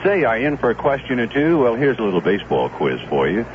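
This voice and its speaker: A man's voice: medium pitch, very animated, quick pace, noisy background. A man reading a scripted radio commercial in a friendly, folksy sales patter. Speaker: commercial announcer. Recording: muffled 1970s radio broadcast.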